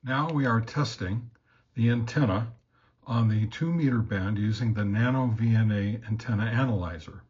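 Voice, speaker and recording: A man narrates a casual product test as host, in a halting, plain-spoken, step-by-step way. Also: on the low side, a little mellow, muffled recording. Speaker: host reviewing radio gear.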